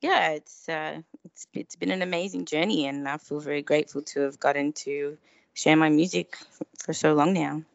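Low-voiced Australian woman, slightly dull audio. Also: fairly even intonation, normal speed, creaky, no room sound. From Melbourne, Australia. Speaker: guest singer-songwriter. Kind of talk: casual interview chat.

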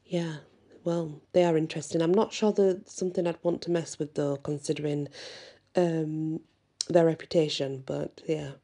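Dark, husky-voiced woman narrator. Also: deep voice, fairly even intonation, normal speed. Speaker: host of a series on spirits and folklore. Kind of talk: informal narration reading researched notes with casual asides.

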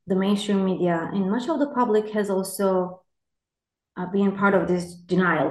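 Woman in an echoey room. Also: medium pitch. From Turkey. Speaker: journalist guest. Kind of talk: interview answers.

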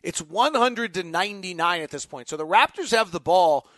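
A man's voice: sits high, expressive, normal speed, a bit bright, no echo, studio quality. An American man hosting live sports commentary, with an emphatic, conversational announcer's delivery. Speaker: sports podcast host; radio play-by-play announcer.

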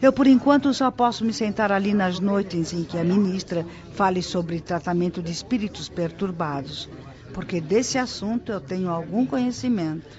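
A Brazilian actress playing a patient, helpful spirit guide in a radio drama, explaining things gently and formally. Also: female voice, medium pitch, normal speed, muffled recording, crowd noise, natural voice. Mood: patient, explanatory.

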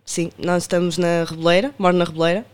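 Uma mulher de vinte e poucos anos, com sotaque do Porto, convidada de um podcast. Responde de forma tímida e suave e ri-se baixinho. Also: female voice, fairly low pitch, level tone, fast. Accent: northern Portuguese, from Porto. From Porto, Portugal. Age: 20s. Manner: shy, soft-spoken, with a light laugh. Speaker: podcast guest. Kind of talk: interview answers.

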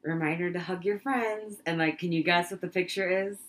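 A woman's voice: on the low side, a little fast. A young woman from California co-hosting a casual chat podcast, blunt and swearing as she rants. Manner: blunt, swears when ranting. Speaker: podcast co-host.

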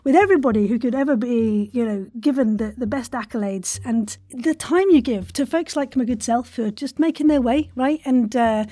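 A woman's voice: a little high, moderate pace, few pauses, warm tone, dry recording. A woman hosting a podcast with an effusive, theatrical manner, moving between scripted-sounding introduction and interview chat. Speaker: podcast host. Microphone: close home studio microphone.

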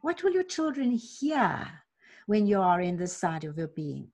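A woman with a gravelly, slightly fried voice guides a meditation at a very slow, unhurried pace. She asks gentle reflective questions and leaves long pauses, like a coach talking parents through their stress. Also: mid-range voice, sing-song.

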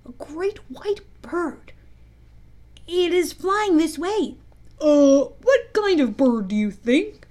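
A woman voicing excitable, simple young boys from a children's adventure storybook, exclaiming breathlessly. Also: sits high, slow pace, few pauses, pitched above the performer's own voice, full character voice. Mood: curious.

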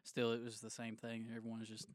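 A man's voice: medium pitch, completely flat delivery, fast, no echo, studio quality. A man in his late twenties co-hosting a casual podcast over drinks, joking crudely and laughing through his lines. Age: 20s. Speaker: podcast co-host.

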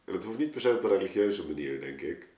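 Casting: man, lower-pitched voice, informal slangy podcast guest who meanders as he reflects, roomy echo on the recording. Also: expressive, fast, dark tone. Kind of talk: casual reflective group conversation. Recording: echoey room.

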